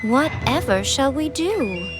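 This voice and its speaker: A woman's voice: high-pitched, very animated, slow, full character voice. A woman playing an elegant, self-assured shrine maiden in a video game, poised and playfully sly. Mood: mock concern. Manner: poised, playful, mock-sympathetic. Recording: music behind the voice.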